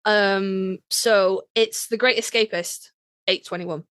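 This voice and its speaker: A woman chats as a podcast co-host in a close, dry recording. Her pitch sits mid-range, and she talks casually, with plenty of swearing and self-mocking fan enthusiasm. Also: steady tone, slow pace, a bit bright, smooth, no room sound.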